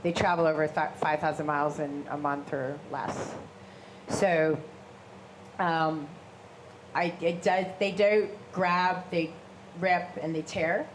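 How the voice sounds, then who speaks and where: A woman with a big voice telling her story to a live audience, animated and gesturing as she talks. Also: low voice, slow, a little hiss or hum, low-quality recording. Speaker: shark attack survivor and guest speaker. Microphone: handheld microphone.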